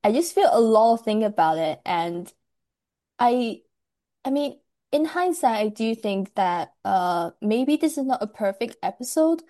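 A woman from Hong Kong talks candidly and with emphasis, laughing easily in casual conversation. Her voice is mellow and warm. The sound is dry, with no room echo. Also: fairly high pitch, sing-song, measured pace. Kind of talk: casual chat.

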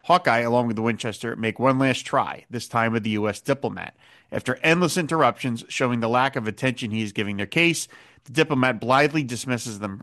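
A man hosts a podcast, moving between recapping a plot and musing aloud in a thoughtful, hesitant way. His voice sits at a middle pitch for a man. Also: a bit bright. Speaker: podcast host. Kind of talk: episode recap and casual chat.

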